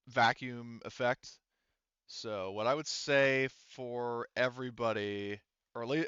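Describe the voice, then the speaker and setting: Male game master, clear resonant voice, loose and sweary as he talks through rules and scenes; dry but slightly muffled recording. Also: mid-range voice, fairly bright tone, no echo. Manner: rambling, profane, self-correcting. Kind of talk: casual tabletop game narration and rulings. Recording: slightly dull, lo-fi microphone sound.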